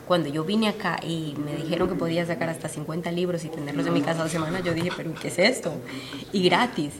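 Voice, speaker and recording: A woman from Honduras speaks in a somewhat low voice, explaining her publishing work in an earnest, steady way. A busy fair crowd chatters loudly behind her, and the talk is a relaxed interview. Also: quick pace. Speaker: publisher, guest. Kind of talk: conversational interview. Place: book fair.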